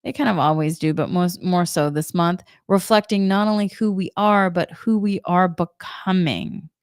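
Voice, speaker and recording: A middle-aged woman with a Boston accent gives gentle, reflective spiritual guidance, often repeating her questions. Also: on the low side, normal speed, no room sound, studio quality. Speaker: spiritual energy guide, Reiki practitioner.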